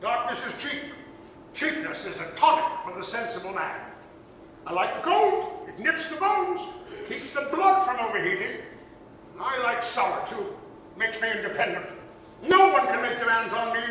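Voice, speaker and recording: A man's voice: very high-pitched, big pitch swings, moderate pace, pushed and bright, full character voice. A man playing a bitter, miserly old businessman in a theatrical, scornful radio drama style. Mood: smug. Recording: echoey hall, distant, faint noise.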